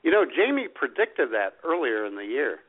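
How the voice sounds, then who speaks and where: A man's voice: medium pitch, big pitch swings, moderate pace. A man co-hosting a live radio show, bantering casually. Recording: slightly dull lo-fi internet radio.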